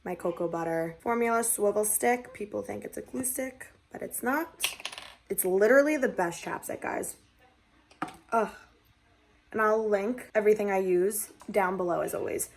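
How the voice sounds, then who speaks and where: A young woman with a raspy, tired voice, chatting casually to her viewers in a home vlog. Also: mid-range voice, normal speed, a little mellow, roomy sound, far from the mic, a little hiss or hum. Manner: chatty, rambling, talks to viewers as friends. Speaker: vlogger. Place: indoors at home.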